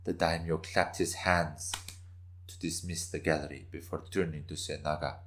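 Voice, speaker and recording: A man's voice: a bass voice, fairly even intonation, moderate pace, a bit bright, a little hiss or hum. A man narrating a scripted story in a dramatic reading style.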